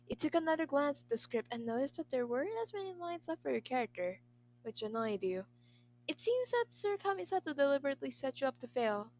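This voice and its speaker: A woman's voice: a little high, slight background noise. A woman narrating a scripted story as an amateur reader. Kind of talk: scripted narration of a fan fiction.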